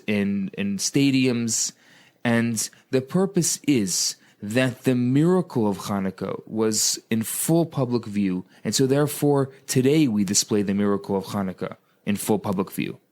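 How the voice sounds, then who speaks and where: Earnest man, medium pitch. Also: darkish tone. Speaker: rabbi and co-host. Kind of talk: radio interview, explanatory talk.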